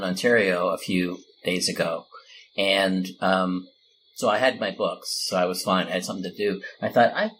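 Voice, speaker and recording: A man's voice: fairly low pitch, fairly bright tone, a little hiss or hum, low-quality recording. A Canadian man hosting a casual chat, enthusiastic and rambling as he talks. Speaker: podcast host.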